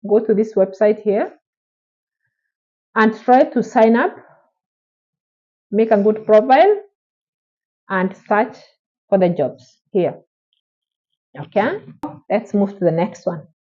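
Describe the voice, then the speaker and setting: A woman's voice: medium pitch, lively intonation, slow, pauses often, warm tone. Female vlogger, encouraging and direct, slightly muffled recording. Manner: encouraging, repetitive, direct address. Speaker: vlogger answering viewer questions. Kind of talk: casual advice vlog. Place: Oman.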